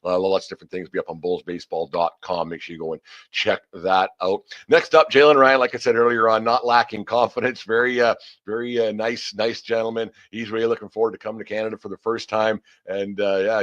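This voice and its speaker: Male sports podcast host, lower register, folksy and rambling, circles back on his words, loose conversational interviewing. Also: little pitch movement, fast, fairly bright tone, highs cut off. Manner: folksy, rambling, repeats words while thinking.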